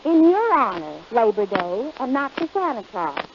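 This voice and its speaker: A woman's voice: on the high side, very animated, moderate pace, highs cut off, background noise. A woman trading wisecracks on a 1940s radio comedy show, on an old radio recording. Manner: wisecracking, teasing. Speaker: comedy sidekick.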